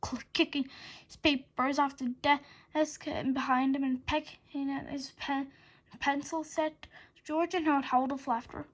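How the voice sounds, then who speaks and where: A woman reading a children's story aloud in an expressive way, now and then stumbling over words. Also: sits high, unhurried. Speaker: read-aloud narrator.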